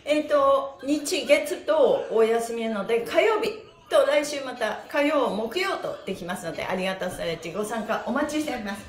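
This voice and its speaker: High-pitched, cheerful woman instructor in echoey room. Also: expressive, moderate pace. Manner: cheerful, encouraging, exclaiming. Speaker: stretch instructor and pantomime teacher. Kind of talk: live-streamed exercise class, leading chants and counts. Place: small bare room indoors with wooden floor. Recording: roomy, echoey indoor sound.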